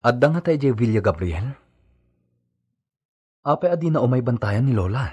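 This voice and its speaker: A man's voice: medium pitch, lively intonation, moderate pace, mellow, husky. A man playing an earnest, concerned grown son in an Ilocano radio drama, with an Ilocano accent. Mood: concerned, questioning.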